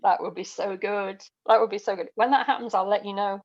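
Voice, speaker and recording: A woman's voice: mid-range voice, fairly even intonation, a little fast, a little mellow, dry recording, dull audio. A middle-aged woman guest, a writer and performer, telling stories conversationally in an interview. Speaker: guest, audio dramatist and performer.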